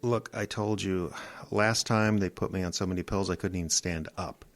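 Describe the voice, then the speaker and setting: Rory: guarded, haunted loner, played by a man; curt, very deep, heard a little off-mic. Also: fairly even intonation, moderate pace. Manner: terse and defensive. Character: weary, guarded man haunted by abduction dreams. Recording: binaural, voice set back from mic.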